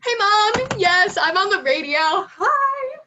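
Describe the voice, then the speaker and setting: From across an echoey room, an overexcited soldier played by a young woman calls out in a very high voice. The delivery is slow, goofy and loud, in comic radio play style. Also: sing-song, heavily acted. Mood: excited. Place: bedroom, on a video call. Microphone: distant computer microphone. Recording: roomy, distant mic, noisy.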